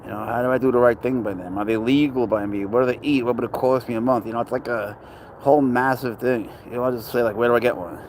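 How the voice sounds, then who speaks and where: A man's voice: mid-range voice, fairly even intonation, fast, a little mellow. Male streamer from Spain, casual and rambling, answering chat live outdoors, light street noise. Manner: chatty, rambling, answers chat questions aloud. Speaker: livestream host. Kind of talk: casual livestream chat reading viewer comments.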